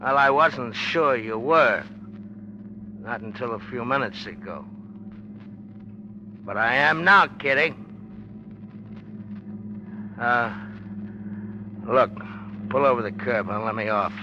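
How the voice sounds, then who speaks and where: A man's voice: mid-range voice, expressive, takes it slowly, pauses often. A man playing a cocky, needling, tough-talking newspaperman in a 1940s radio drama, slangy and smug. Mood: smug, pressing. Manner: slangy, smug, hard-boiled. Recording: dull noisy old radio recording.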